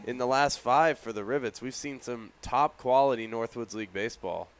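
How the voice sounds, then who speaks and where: A man's voice: medium pitch, moderate pace, background noise. A man giving conversational color commentary during a live baseball radio broadcast. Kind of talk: conversational sports analysis. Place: live at a ballpark broadcast position.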